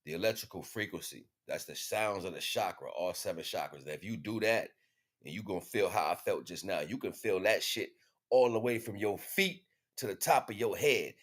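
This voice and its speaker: Low voiced guy on a stream doing spiritual teaching, slangy, emphatic, repeats himself a lot. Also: normal speed. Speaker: livestream host. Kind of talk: informal spiritual teaching monologue.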